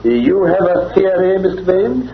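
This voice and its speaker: An actor playing a brisk, confident, analytical detective in an old-time radio drama, speaking precisely and with authority. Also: male voice, sits high, sing-song. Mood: brisk, courteous. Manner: precise, clipped, authoritative deduction. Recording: dull vintage radio recording.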